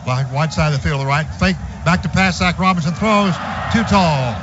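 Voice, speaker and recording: A man calling a football game live on the radio as play-by-play announcer, calm between plays and rising sharply when the action breaks. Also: normal speed. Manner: rises in pitch and volume on big plays, calm between. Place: stadium radio booth. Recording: muffled lo-fi radio broadcast with background noise.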